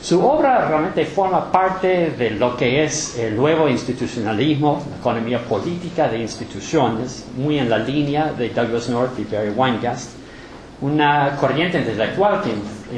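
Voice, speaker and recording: A man introducing a guest speaker, calmly listing the guest's credits and work. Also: medium pitch, normal speed. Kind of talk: formal introduction. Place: lecture hall. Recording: roomy hall with background noise.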